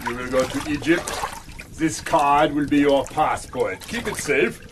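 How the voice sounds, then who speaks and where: An actor playing a cruel, domineering middle-aged German officer in a radio drama, mocking and commanding. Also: male voice, on the high side, a little hiss or hum, close to an everyday voice. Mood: brisk. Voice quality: mocking, commanding, slow and deliberate. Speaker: villain.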